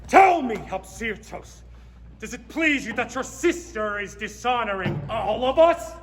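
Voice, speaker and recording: A young man acting a scornful, wrathful king in a stage play with bombastic, drawn-out declamation. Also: very high-pitched, animated, exaggerated voice. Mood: enraged. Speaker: student actor in a school competition play. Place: theatre stage, live performance. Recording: echoey hall, loud music and crowd.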